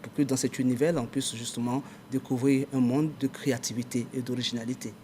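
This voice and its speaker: A man's voice: mid-range voice, little pitch movement, fairly quick, mellow. Govt adviser guy on tv panel, formal long sentences, mid voice, light hiss. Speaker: adviser in the communication minister's office, guest. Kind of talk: TV talk show interview.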